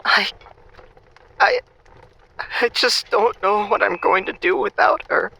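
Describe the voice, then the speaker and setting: A man playing a lonely, heartbroken young lover in an audio drama, halting and forlorn. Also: high voice, creaky, pushed and bright, full character voice. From America. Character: mopey, lonely, heartbroken jilted boyfriend. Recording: faintly noisy phone-call style.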